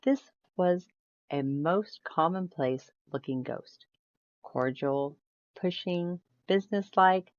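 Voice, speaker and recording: A woman's voice: lowish voice, slow pace. A woman reading a ghost story aloud as scripted storybook narration. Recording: roomy echo, slightly dull sound.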